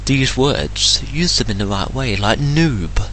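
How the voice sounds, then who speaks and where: Ranting English man, bright edgy voice. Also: mid-range voice, expressive, slow, a little hiss or hum. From England. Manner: indignant, blunt, swears freely. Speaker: vlogger. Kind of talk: ranting solo vlog.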